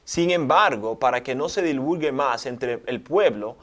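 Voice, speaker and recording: A man's voice: mid-range voice, expressive, a little slow, fairly bright tone. New York-accented man reading scripture. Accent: American English (New York). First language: English. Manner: reads scripture aloud, short agreeing replies. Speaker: co-host, scripture reader. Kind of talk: Bible study discussion.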